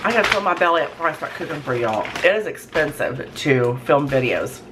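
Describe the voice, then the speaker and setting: A hoarse woman with a cold, chatting in a rambling, folksy way with lots of ums in her home kitchen. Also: deep voice, lively intonation, a little fast. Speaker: cooking vlogger. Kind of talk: casual chat to camera. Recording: noisy home recording.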